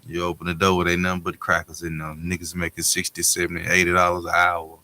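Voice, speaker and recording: A man's voice: low-pitched, completely flat delivery, fast, sharp tone, a little hiss or hum. A man telling personal stories in a casual panel talk, blunt, slangy and profane. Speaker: panel guest.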